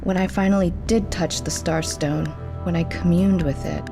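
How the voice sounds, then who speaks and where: A woman's voice: fairly low pitch, steady tone, normal speed, music in the background, close to an everyday voice. An actress playing an earnest, troubled immortal woman in an audio drama, speaking with intense deliberation.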